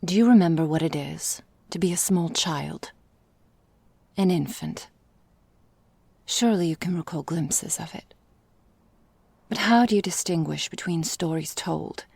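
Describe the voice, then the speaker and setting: A woman playing an ancient, elegant vampire mistress in an ASMR roleplay, speaking formally and deliberately in a breathy, gravelly, whispery voice. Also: on the low side, darkish tone, no room sound, heavily acted. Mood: brooding, haunted. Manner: slow, formal, theatrical, deliberate.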